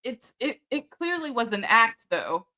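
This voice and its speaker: Bright-voiced woman host. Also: fairly high pitch, very animated. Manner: chatty, quick to laugh. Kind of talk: casual commentary chat.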